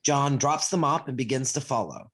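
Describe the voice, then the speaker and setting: A man's voice: mid-range voice, normal speed, fairly bright tone. A man reading stage directions as scripted narration for a play. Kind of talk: scripted narration in present tense. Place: remote video call.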